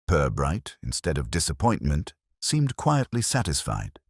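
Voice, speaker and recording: A man narrating a mystery story in a measured, wryly understated way. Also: extremely low pitch, huge pitch swings, slightly rough, no echo, clean studio sound. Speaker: audiobook narrator.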